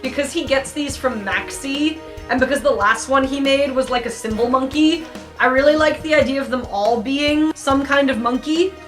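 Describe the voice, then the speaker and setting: A woman chats casually at a tabletop game, describing her moves as a player. Her voice is high, with music running behind it. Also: normal speed, fairly bright tone.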